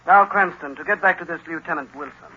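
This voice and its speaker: An actor playing a blustery, impatient army general in an old-time radio drama, with a brusque, authoritative, huffy manner. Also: male voice, fairly high pitch, quick pace, sharp tone, breathy edge, full character voice. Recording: muffled, noisy vintage radio recording.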